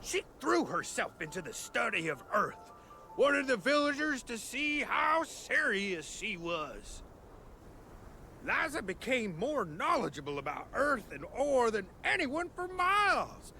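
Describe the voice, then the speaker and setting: A man's voice: extremely high pitch, very animated, measured pace, vocal fry, pushed and bright, heavily acted. A man voicing a folksy, easygoing villager storyteller in a video game cutscene, with a drawl. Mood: wistful, proud. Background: background music.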